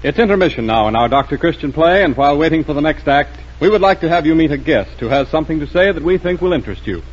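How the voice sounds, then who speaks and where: Male vintage radio announcer, scripted and fast, faint organ underneath, dull old recording. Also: a little high, animated. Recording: muffled 1940s radio recording. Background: organ music.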